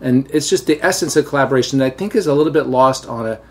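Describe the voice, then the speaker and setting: A middle-aged man with a Southern American accent, a teacher hosting a relaxed, chatty interview and telling anecdotes. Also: mid-range voice, very fast. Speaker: podcast host and teacher. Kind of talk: casual conversational interview.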